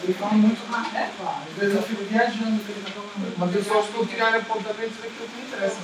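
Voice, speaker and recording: A woman's voice: medium pitch, steady tone, racing. A woman in casual chat, insistently repeating and restarting her phrases. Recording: echoey, distant, noisy room.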